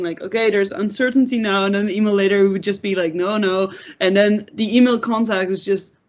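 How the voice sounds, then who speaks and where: A woman of middling pitch chats casually as a guest on a panel. Her phrasing is informal and loose, full of conversational asides. The recording sounds a little dull. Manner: informal, frequent "like". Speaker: panel guest, pantomime board member. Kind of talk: casual chat in an interview. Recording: muffled lo-fi audio.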